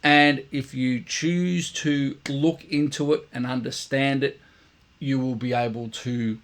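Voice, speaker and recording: A man, a brass teacher giving blunt, emphatic instruction to camera, his voice croaky and tired today. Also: mid-range voice, fairly even intonation, slow, fairly bright tone, a little hiss or hum. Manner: emphatic, blunt, repeats points for stress. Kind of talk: direct instructional talk to camera.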